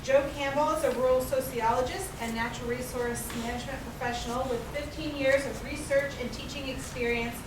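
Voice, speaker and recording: A woman hosting an event, reading speaker introductions in an even, read-out way. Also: high-pitched, brisk, hardly any pauses. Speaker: event host. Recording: echoey room, mic at a distance, noise.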